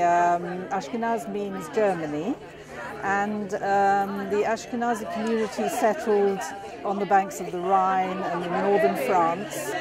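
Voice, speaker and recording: A woman explains things slowly and carefully, pausing as she goes. She is being interviewed amid loud crowd chatter in a busy hall. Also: mid-range voice. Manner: hesitant, measured, explanatory. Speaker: interviewee explaining community history. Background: crowd at a party.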